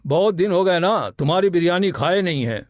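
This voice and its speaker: A man's voice: sits high, brisk, no echo, clean studio sound, natural voice. A man voicing a blunt, colloquial village trader in a cartoon moral story. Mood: eager. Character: blunt male village trader, a familiar local. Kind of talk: Hindi animated moral story dialogue.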